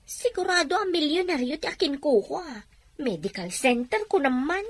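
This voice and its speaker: An actress with an Ilocano accent playing a sympathetic friend and fellow overseas worker in a radio drama. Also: female voice, high voice, sing-song, moderate pace, no room sound. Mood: concerned.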